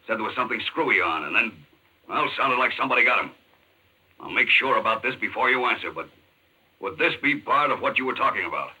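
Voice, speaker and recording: An actor playing a gruff, skeptical, blunt American police chief in a 1940s radio crime drama. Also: male voice, mid-range voice, expressive, fast talker, pushed and bright, heavily acted, low-quality recording. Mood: brisk, alarmed. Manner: blunt, clipped, tough. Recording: old 1940s radio recording.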